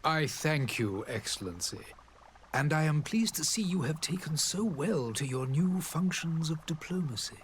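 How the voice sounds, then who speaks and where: An actor playing a dignified, courteous diplomat in a role-playing game, speaking formally and eloquently. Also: male voice, a little high, expressive, normal speed, slight background noise, natural voice. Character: dignified male diplomat of a foreign alliance, proud and courteous.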